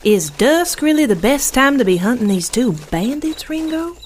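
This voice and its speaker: An actress playing a naive, eager Old West newspaper editor with a squeaky voice in an audio drama. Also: female voice, very animated, normal speed, husky, full character voice. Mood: unsure. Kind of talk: western audio drama for children.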